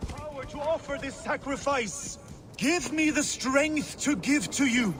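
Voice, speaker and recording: An actor playing an elderly, weary and proud Norse warrior who is ready to die, in a video game. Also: male voice, very high voice, measured pace, background noise. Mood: fervent pleading. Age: older. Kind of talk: video game dialogue acting.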